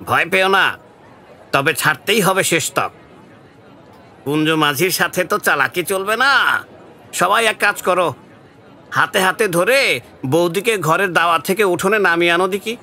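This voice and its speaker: A man's voice: high voice, measured pace, pauses often, bright, forceful tone, a faint crowd, exaggerated voice. An actor playing a tense, emphatic village man in an audio drama. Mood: cocky, taunting. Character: village men in tense dispute, earnest or taunting. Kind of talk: Bengali audio drama acting.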